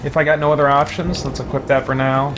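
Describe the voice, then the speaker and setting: A man's voice: medium pitch, little pitch movement, fast, over loud music. A man giving casual gameplay commentary, thinking aloud to himself with mild folksy exclamations. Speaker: let's play host, gamer.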